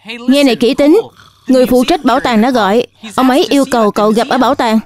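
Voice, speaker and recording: A woman's voice: high-pitched, quick pace, darkish tone. A woman reading scripted cartoon dialogue and narration as a dub voice artist. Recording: music under the voice.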